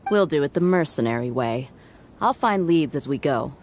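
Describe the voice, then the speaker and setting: A low-voiced, bold mercenary. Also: female voice, animated, moderate pace, a little mellow. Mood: steady. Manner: confident, easygoing, decisive. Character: confident grown woman mercenary, bold and self-assured. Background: game music.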